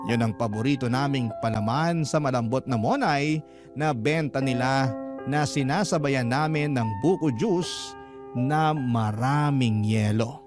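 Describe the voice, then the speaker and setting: A Filipino man playing a lovestruck storyteller, recounting his romance in a measured, confessional Tagalog radio drama narration. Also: medium pitch, natural voice. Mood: reflective, hopeful. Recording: music behind the voice.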